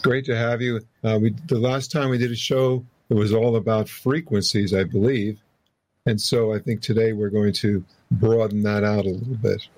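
A man hosting a podcast, reading the guest's introduction in a steady listing rhythm, then chatting. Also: lowish voice, moderate pace, low-quality recording. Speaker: podcast host.

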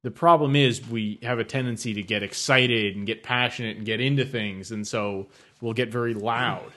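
A man co-hosting a podcast, chatting casually in a loud voice with a blunt, matter-of-fact manner. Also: mid-range voice, steady tone, normal speed. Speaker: podcast co-host. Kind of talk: casual tech chat. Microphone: microphone through a mixer.

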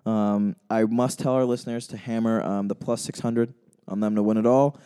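A man's voice: lowish voice, fairly even intonation, normal speed, mellow, clean tone. A man co-hosting a casual sports podcast, talking in a rambling, self-deprecating way with many restarts. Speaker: podcast co-host.

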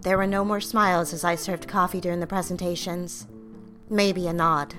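An actress playing a sincere, quietly stubborn young secretary in an audio drama. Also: female voice, lowish voice, level tone, moderate pace, faint music behind, lightly acted. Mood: reflective, hurt. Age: young adult. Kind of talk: audio drama acting and narration.

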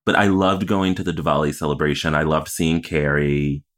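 Male recap podcaster: low register, conversational, emphatic, thinking through his opinions aloud. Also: level tone, normal speed. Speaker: podcast co-host. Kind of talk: casual TV recap chat.

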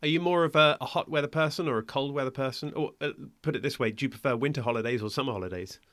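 A man's voice: medium pitch, racing, fairly bright tone. A man hosting a school podcast, reading a scripted introduction and asking questions in an upbeat way. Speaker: podcast host.

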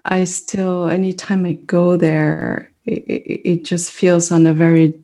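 Slow, gravelly, husky-voiced woman. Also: on the low side, level tone. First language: French. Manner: thoughtful, searching for words, soft pauses. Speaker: mindfulness meditation teacher, guest. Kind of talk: reflective conversational interview.

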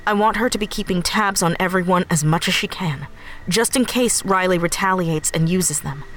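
A woman acting in an audio drama as the brisk, careful leader of a covert team. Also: on the low side, a bit bright, close to an everyday voice. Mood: focused, concerned.

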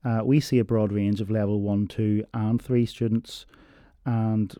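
Guy explaining student support, flat low voice, lots of ums, dry sound. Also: dry recording. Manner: measured, explanatory, frequent ums. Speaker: university learning support staff. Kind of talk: informational interview answers.

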